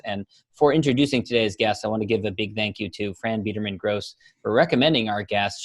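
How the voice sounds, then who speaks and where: A man's voice: fairly low pitch, no echo. Man hosting a podcast, dry close sound. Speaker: podcast host. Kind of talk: podcast introduction and conversational interview.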